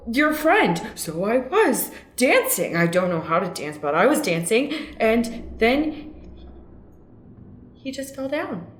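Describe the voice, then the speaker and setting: A woman plays an innocent, sheltered spiritual seeker in a comic radio play. Her voice sits a little high and is earnest and dramatic, recorded in an echoey room. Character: naive, earnest spiritual seeker raised in a sanctuary. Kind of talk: improvised comedic radio play acting.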